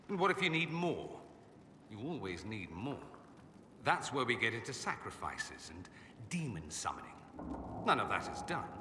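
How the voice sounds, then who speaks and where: An actor playing a vain, sardonic young aristocratic mage in a video game, with a suave, theatrical and wry delivery. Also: male voice, fairly low pitch, bright, forceful tone, reverberant, in a large hall, a little hiss or hum. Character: witty, vain aristocratic male mage, sardonic rebel exile. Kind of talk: video game dialogue acting.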